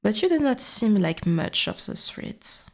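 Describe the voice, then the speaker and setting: A woman voicing an ancient, dominant forest god in an ASMR roleplay, speaking in formal, archaic wording with a breathy half-whisper and quiet menace. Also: mid-range voice, sing-song, unhurried. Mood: calm, guarded.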